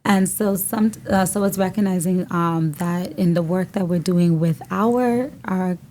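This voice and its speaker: A Bahamian woman co-hosts a podcast from a studio room, thinking out loud with frequent fillers and restarts. Her voice is warm and mellow, set a little low, with a faint hum behind it. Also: unhurried. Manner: reflective, many fillers and restarts. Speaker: podcast co-host. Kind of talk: casual podcast conversation.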